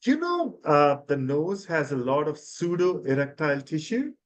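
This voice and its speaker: A man's voice: fairly high pitch, very animated, measured pace. A man from India, an ENT surgeon, explaining nasal treatment in an interview with many fillers and restarts. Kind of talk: expert interview answers.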